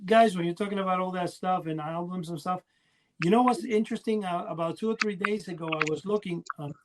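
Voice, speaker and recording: High voiced guy hosting a podcast, rambly and enthusiastic, just chatting. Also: fairly quick, background noise. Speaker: podcast host. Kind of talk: casual chat.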